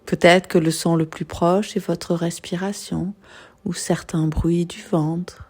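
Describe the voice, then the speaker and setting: A woman guiding a yoga nidra meditation in a soothing, unhurried manner, leaving long pauses. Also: on the low side, fairly even intonation, a little mellow, husky. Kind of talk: guided meditation.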